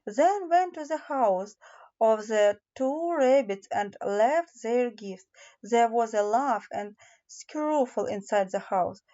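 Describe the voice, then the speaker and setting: A woman reads a children's fairy tale in a fairly high, soft-edged voice. She takes it slowly and deliberately, stumbling slightly now and then. The recording is a little dull and homemade. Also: animated. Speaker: audiobook narrator.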